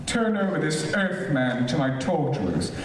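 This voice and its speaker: A man playing a merciless, commanding emperor in an old radio serial, imperious and deliberate. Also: fairly high pitch, big pitch swings. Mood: menacing command. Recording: distant, very echoey hall, faint noise.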